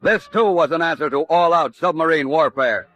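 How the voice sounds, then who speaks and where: A man's voice: a little high, moderate pace, a bit bright. A man delivering emphatic, urgent scripted narration in a dramatic 1940s radio style.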